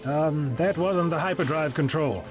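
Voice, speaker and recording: A man playing a quick-witted, eccentric time-travelling adventurer in a theatrical sci-fi audio drama. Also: on the high side, close to an everyday voice. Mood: sheepish, explanatory. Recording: music behind the voice.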